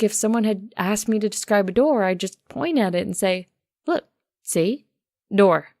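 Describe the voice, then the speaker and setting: A woman's voice: medium pitch, gravelly, darkish tone, no echo, studio quality. A woman reading a first-person story aloud as an audiobook narrator.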